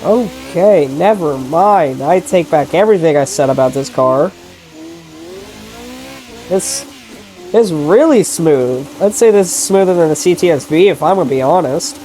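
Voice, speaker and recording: A man talks through a racing sim session in a loose, easygoing way, his voice blocked and stuffy from a sinus infection. He trails off, corrects himself and breaks in with quick exclamations as he drives. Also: a little high, animated, mellow, slight background noise. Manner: rambling and easygoing, with self-corrections and sudden exclamations while driving. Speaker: sim racing video creator. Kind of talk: casual gameplay commentary.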